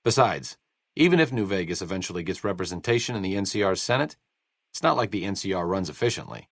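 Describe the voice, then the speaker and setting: Wry, low-voiced American doctor with lively intonation. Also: male voice, quick pace, dry recording. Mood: earnest. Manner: dry, sardonic, articulate. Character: wry, sarcastic, idealistic male doctor in a wasteland. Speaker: video game companion character. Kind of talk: video game voice acting, conversational dialogue.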